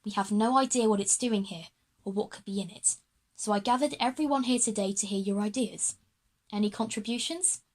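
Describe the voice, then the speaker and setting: A woman's voice: medium pitch, fairly quick, lightly acted. A woman playing a practical schoolgirl who leads her classmates in a children's audio drama. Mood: businesslike.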